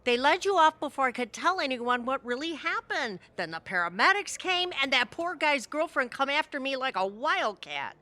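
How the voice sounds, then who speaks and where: Radio comedy part: sheepish, loyal suburban mom, female actor, bright and expressive, defensive but earnest. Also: sits high, normal speed, few pauses, heavily acted. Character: tipsy-night-out suburban mom, apologetic and loyal friend. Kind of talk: audio theatre comedy acting.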